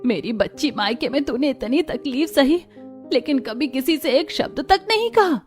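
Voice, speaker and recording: A woman's voice: sits high, expressive, moderate pace. A woman voicing a caring, indignant middle-aged mother-in-law in a melodramatic cartoon family drama, earnest and emphatic. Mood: sorrowful, tender. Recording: music under the voice. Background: background music.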